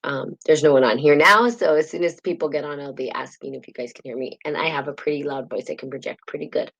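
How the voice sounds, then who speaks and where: Low-voiced woman hosting a chatty live stream. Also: fast talker, gravelly, a bit bright, highs cut off. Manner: rambling and chatty, greets viewers by name. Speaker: host of a live spiritual talk show. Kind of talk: casual spiritual teaching, live stream. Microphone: built-in device mic instead of her usual mic.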